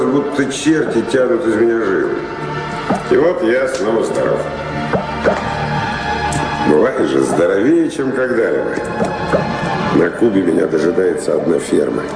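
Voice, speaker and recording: Deep, gravelly romantic wanderer. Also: male voice. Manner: dramatic, theatrical delivery with sudden swings. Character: wandering male adventurer, restless, bold, romantic dreamer. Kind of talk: theatrical audio drama acting. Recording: echoey reverberant studio with loud music behind.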